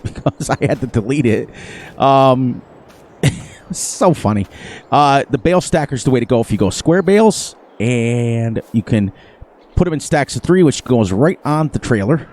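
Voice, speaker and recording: A man's voice: mid-range voice, darkish tone. A man hosting a farming game playthrough in casual chat, laughing often, with a sniffly, runny nose. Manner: chatty, laughs often, playful. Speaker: gaming channel host. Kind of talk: casual gameplay commentary.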